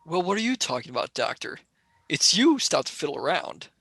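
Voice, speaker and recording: A man's voice: a little high, very animated, fairly quick, gravelly, a bit bright, slightly rough, no room sound, heavily acted. A man voicing a blunt, skeptical young male space pilot who argues casually in a radio play. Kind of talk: amateur radio play acting.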